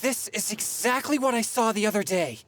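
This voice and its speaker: A woman's voice: mid-range voice, lively intonation, moderate pace, noisy background, natural voice. A woman playing a curious, straightforward young woman in a fantasy video game. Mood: puzzled. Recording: faint background music.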